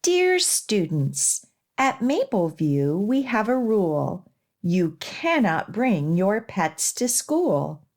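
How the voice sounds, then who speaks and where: A woman children's librarian reading a rhyming picture book aloud for young children, with expressive, measured emphasis on the rhymes. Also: medium pitch. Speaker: children's librarian and storytime host.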